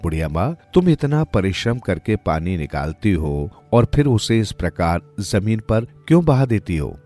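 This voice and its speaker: A man's voice: lowish voice, very animated, moderate pace, warm tone, soft background music. A man voicing a kind, eager young peasant son in a storybook tale. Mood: concerned, curious. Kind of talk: storybook character dialogue.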